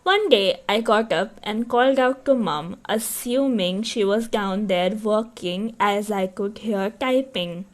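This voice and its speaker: A woman's voice: mid-range voice, takes it slowly, few pauses. A woman co-hosting a podcast, chatting casually and reading a story, reacting with murmurs and laughs. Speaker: podcast co-host.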